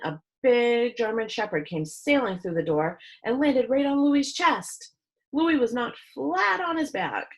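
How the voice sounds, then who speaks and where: A woman reading a children's book aloud as a storyteller, with expressive narration. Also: on the high side, poor audio.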